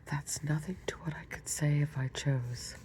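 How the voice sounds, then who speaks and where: A woman's voice: sits low, steady tone, normal speed, dark tone, natural voice, low-quality recording. A woman voicing a preachy, self-pleased duchess in a whisper. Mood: smug.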